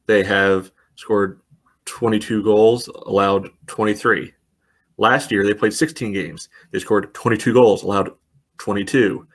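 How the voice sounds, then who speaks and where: A man co-hosting a casual sports podcast, dry and wry, reeling off stats at a steady clip. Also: fairly low pitch, a little slow. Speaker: podcast co-host.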